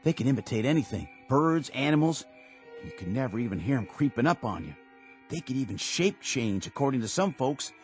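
A man's voice: medium pitch, big pitch swings, a little fast, natural voice. A young man acting in a radio drama as an earnest, anxious, superstitious and talkative army private. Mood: uneasy, earnest. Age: young adult. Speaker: actor. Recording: radio drama with music underneath.

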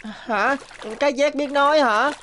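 A woman's voice: high-pitched, slow pace, heavy background noise. A woman voicing a kind, humble young orphan boy in a cartoon, polite and earnest. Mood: surprised, earnest.

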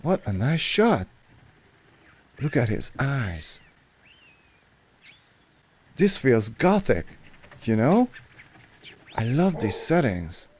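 A man in his thirties from Hungary with a Hungarian accent, chatting excitedly and correcting himself as he reacts to an old film at a studio mic. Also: mid-range voice, extremely slow, pauses often, husky. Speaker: movie reaction host. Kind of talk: casual reaction commentary. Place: small dark home studio. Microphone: studio mic on boom arm, headphones.

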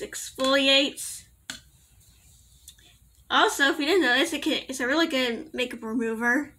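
A young woman talks to her laptop camera in a small bedroom, her voice high and bright with a slight allergy rasp. She rambles, giggles and corrects herself as she goes. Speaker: vlogger. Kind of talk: casual product review to camera.

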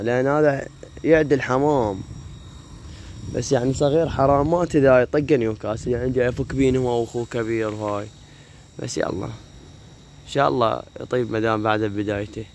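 Man, iraqi arabic accent, hoarse voice, casual vlog chat, phone camera, rooftop outdoors, faint insect noise. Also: medium pitch, brisk, pauses often, a little mellow. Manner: chatty, talks to the viewers while filming. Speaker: pigeon keeper vlogger. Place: rooftop pigeon loft outdoors. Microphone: phone camera held while walking.

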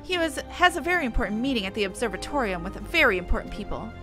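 A woman's voice: on the high side, sing-song, quick pace, over music. A woman voicing a haughty, officious company clerk with a formal, scolding manner. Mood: indignant.

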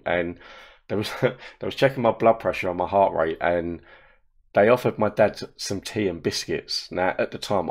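Croaky, deep-voiced man. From England. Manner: rambling, chatty storytelling with laughs. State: hoarse, croaky voice. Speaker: animation creator and host. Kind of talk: casual commentary. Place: indoor room. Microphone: wearing headphones, webcam.

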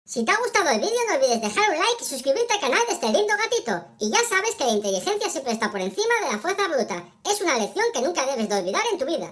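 A person voicing a cheerful male cartoon cat through a pitch-raising app filter, with a Spain Spanish accent, chatting quickly to children about a lesson. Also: very animated, noisy background. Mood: chirpy. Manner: squeaky and rapid, sermonizing on a lesson. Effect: pitch-raised app voice filter.

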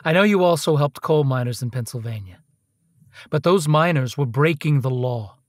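Guy playing a menacing detective in a radio drama, pushy, insinuating, fairly high male voice, dry close sound. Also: expressive, moderate pace, no room sound, close to an everyday voice. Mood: accusing. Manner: pushy, coolly threatening. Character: menacing, insinuating hard-nosed Pinkerton detective. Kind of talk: radio play acting.

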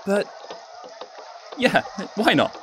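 A shy, earnest young English student played by a male actor, hesitant and apologetic. His pitch moves animatedly, and music plays behind him in this radio drama. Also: big pitch swings, extremely slow, a bit bright, heavily acted. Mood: flustered.

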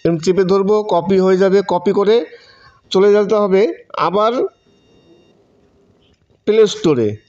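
A man's voice: sits high, a little slow, long pauses, a little mellow. A Bengali man giving step-by-step tutorial narration in a repetitive, instructional manner. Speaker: tutorial presenter. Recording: dull, muffled lo-fi voice-over.

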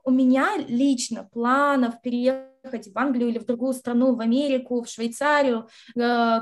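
A young woman from Russia, an interview guest on a video call from home, telling lively stories and slipping in English words. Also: sits high. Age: young adult. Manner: lively storyteller, acts out quotes, mixes in English words. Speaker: interview guest, university graduate. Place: bright room at home.

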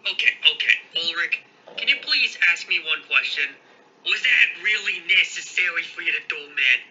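A man's voice: a little high, lively intonation, normal speed, pushed and bright, lo-fi sound, exaggerated voice. A man voicing a cocky, defiant, foul-mouthed teenage boy in a cartoon parody, brash and taunting. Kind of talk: fan-made cartoon parody voice acting.

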